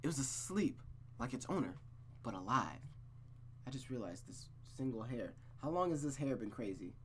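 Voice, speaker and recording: A man's voice: medium pitch, sing-song, normal speed, a little hiss or hum. A man reading a visual novel aloud in a suspenseful storytelling style, with playful, casual asides.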